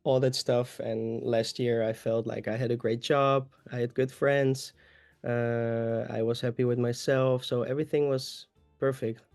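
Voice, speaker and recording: A man's voice: mid-range voice, little pitch movement, measured pace, darkish tone, no room sound. A young man from Austria, a native German speaker, chatting openly as an interview guest, with fillers and restarts. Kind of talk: casual conversation.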